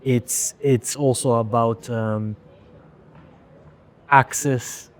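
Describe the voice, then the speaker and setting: A male fashion founder from Rwanda talking candidly as an interview guest. He speaks at a middle pitch, haltingly, often restarting words as he gathers his thoughts. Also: steady tone.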